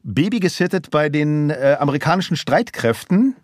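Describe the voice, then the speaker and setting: A man's voice: on the high side, moderate pace, dry recording, studio quality. A man in his fifties from Germany, a guest on a talk show, telling a personal story openly with many fillers. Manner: open, relaxed storytelling with frequent fillers. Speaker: podcast guest, voice actor. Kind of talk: casual interview chat.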